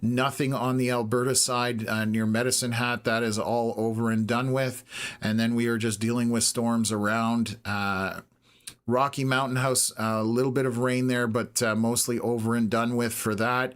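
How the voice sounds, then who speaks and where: A hoarse Canadian man hosting a live weather stream, talking through radar scans in a steady, measured way with lots of filler "uh"s. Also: mid-range voice. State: hoarse, losing his voice. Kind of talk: live storm-tracking commentary.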